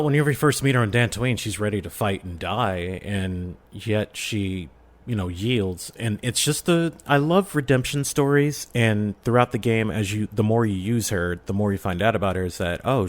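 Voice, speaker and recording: A man talking casually as a podcast guest, explaining his views in an earnest, rambling way. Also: on the low side. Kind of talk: casual fan discussion.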